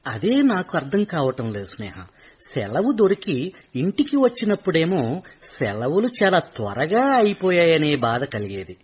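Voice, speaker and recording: A man's voice: unhurried, breathy edge, heavily acted. A man playing a retired, middle-aged army havildar in a radio play, speaking earnestly and wistfully to his wife. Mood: restless. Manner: earnest, wistful, with animated pitch. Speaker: soldier.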